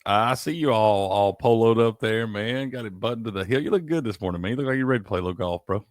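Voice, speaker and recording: A man's voice: fairly low pitch, quick pace, dry recording, studio quality. A man co-hosting a sports betting stream, laid-back and drawling, who ends his sentences with "man.". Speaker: co-host. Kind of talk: casual live-stream chat.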